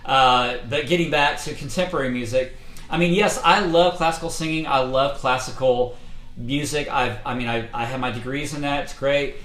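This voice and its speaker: Male vocal coach, mid pitch, casual livestream lesson full of fillers, roomy echo. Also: moderate pace. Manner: relaxed, conversational, many fillers. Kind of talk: casual instructional talk. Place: indoors, livestream setup.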